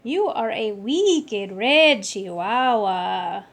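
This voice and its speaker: Woman playing an excitable kitten boy, swooping pitch. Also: drawn-out words, full character voice. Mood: excited, boastful. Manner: exclamatory, peppering speech with Spanish words. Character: excitable young boy kitten pretending to be chihuahua.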